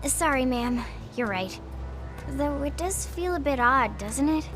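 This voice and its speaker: A woman's voice: high-pitched, animated, a little slow, over music. A woman playing an upbeat, eager teenage girl soldier and tech whiz in a video game. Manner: bubbly, eager.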